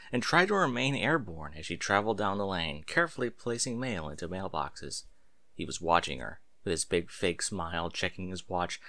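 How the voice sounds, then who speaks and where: A man's voice: low voice, pushed and bright, no room sound. A man reading a story aloud as scripted narration, with an emphatic, theatrical delivery. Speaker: audiobook-style story reader. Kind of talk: expressive scripted narration of fan fiction.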